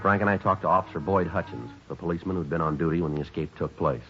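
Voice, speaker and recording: A man's voice: low-pitched, very fast, breathy edge, soft background music. An American man acting a stoic police detective in a 1950s radio drama, speaking and narrating in a terse, matter-of-fact way. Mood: flat, factual. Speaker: narrator and lead actor. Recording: dull vintage 1950s radio recording.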